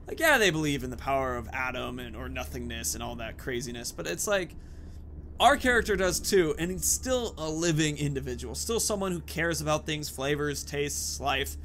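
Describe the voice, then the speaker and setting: A man with a slightly high voice records casual gameplay commentary over audible noise and game ambience. He muses aloud to himself and drops in joking asides. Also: expressive, moderate pace, fairly bright tone. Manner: musing aloud, joking asides, reads notes aloud. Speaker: let's play host.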